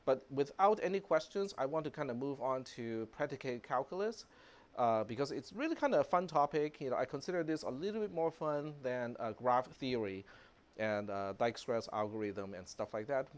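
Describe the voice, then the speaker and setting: A man whose first language is Cantonese teaches a university programming class. He talks in a relaxed, chatty way, full of fillers, at a middle pitch. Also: moderate pace. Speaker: university computer science lecturer. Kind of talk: live classroom lecture.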